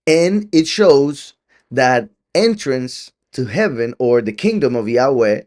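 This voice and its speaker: A man's voice: medium pitch, a little mellow. A middle-aged man leads a scripture study in an easy, conversational way. He stumbles and restarts and laughs lightly as he talks. When he quotes someone, he acts the line out in a changed voice. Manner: conversational, laughs often, with many restarts and fillers; acts out quoted lines with a shifting voice. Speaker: preacher. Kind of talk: Bible study teaching.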